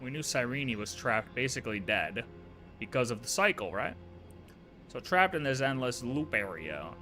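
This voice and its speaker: A man livestreaming a game, talking casually and thinking out loud in slangy, sometimes profane speech. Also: medium pitch, very animated, normal speed, faint music behind. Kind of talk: casual game playthrough commentary.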